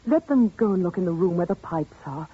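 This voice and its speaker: An actress playing a gentle young governess in an old-time radio drama, soothing and coaxing. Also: female voice, on the low side, expressive, brisk, slightly rough. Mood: earnest. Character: gentle young governess, kind but firm. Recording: thin phone-like radio recording.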